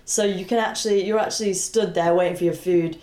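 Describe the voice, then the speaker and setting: A woman reporter talks casually, sharing impressions as a panelist. Her voice sits a little low and is soft-edged and mellow. The room echoes clearly around her words. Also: fairly even intonation, a little fast. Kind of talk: casual chat, recounting impressions. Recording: echoey, roomy sound.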